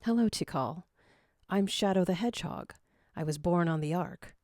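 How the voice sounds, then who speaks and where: Brooding hedgehog hero for fan fiction, male, proud and earnest, lowish voice played by a woman. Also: moderate pace, a little mellow, no room sound, clean studio sound, lightly acted.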